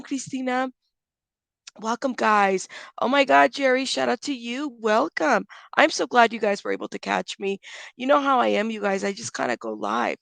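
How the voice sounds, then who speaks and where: A woman hosting a casual livestream, chatting with viewers and giving friendly shout-outs while still congested. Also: fairly high pitch, gravelly, no echo. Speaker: livestream host.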